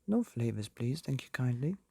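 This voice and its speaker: A man's voice: medium pitch, very animated, normal speed, creaky, warm tone, husky, no room sound, full character voice. A man voicing a timid, mild and polite father who is trying to sound brave, hesitant and meek. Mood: fussy.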